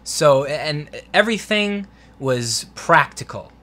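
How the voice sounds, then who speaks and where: A man's voice: on the high side, lively intonation, slow pace, sharp tone. A man co-hosting a review show, talking in an informal, enthusiastic, conversational way. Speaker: film review show co-host. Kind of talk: casual movie review chat.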